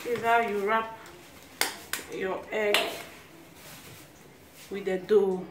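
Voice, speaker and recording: A woman's voice: mid-range voice, steady tone, slow. A woman with a Nigerian accent giving a casual, step-by-step cooking demonstration as a home cook. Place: small tiled home kitchen, indoors. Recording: roomy, noisy home kitchen phone recording.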